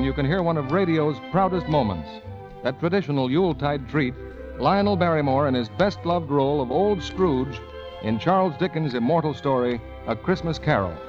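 A polished American announcer, a man with a fairly high voice, declaims scripted copy in 1940s radio style. Music plays clearly behind him, and the recording is muffled like an old broadcast.

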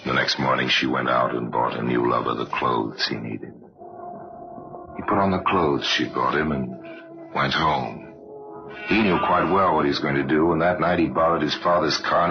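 A man narrating old-time radio crime tales as a grave, sardonic storyteller in a measured, wry, dramatic way. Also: rumbling low. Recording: dull, noisy old radio recording.

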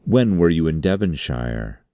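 A man reading as a stern, deep-voiced chairman. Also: sing-song, dark tone, no echo, exaggerated voice. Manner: formal, authoritative, measured. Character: stern, grave male board chairman and magistrate. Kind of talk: audiobook character acting.

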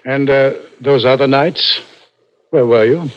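An actor playing a crafty police interrogator in a 1960s radio drama, coaxing and insinuating with deliberate, halting questions. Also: male voice, mid-range voice, no echo, close to an everyday voice. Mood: probing, formal. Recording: dull vintage radio broadcast recording.

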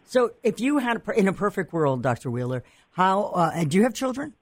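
A man's voice: high voice, big pitch swings, a little fast, dry recording, clean studio sound. An older man with a Massachusetts accent hosting an interview, talking in a chatty, rambling way with restarts and fillers. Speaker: host. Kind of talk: radio or podcast interview.

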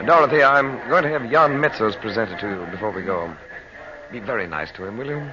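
An actor playing a calm, authoritative American uncle and adventurer in a measured old radio drama style. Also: male voice, lowish voice, noisy background, natural voice. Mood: quietly serious. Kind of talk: 1940s radio acting. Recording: dull old radio recording with music.

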